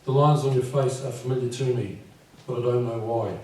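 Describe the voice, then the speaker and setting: A man in his fifties with a croaky voice, reading his poems at a live event and speaking gently and unhurriedly. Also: mid-range voice, steady tone, fairly quick. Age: 50s. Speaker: poet. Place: live venue with audience. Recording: echoey room from far off.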